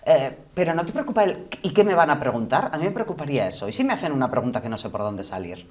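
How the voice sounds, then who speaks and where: A woman's voice: low voice, sing-song, quick pace, a little mellow. A woman conducts a conversational television interview. She sounds informal and attentive as she puts her questions. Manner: informal, attentive, frequent murmured acknowledgements.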